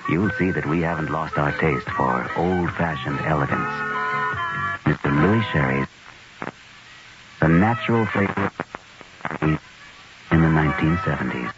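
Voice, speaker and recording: Very deep-voiced male radio ad announcer. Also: measured pace, long pauses, loud music behind the voice. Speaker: advertising announcer. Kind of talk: elegant 1970s radio commercial narration. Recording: dull old radio broadcast.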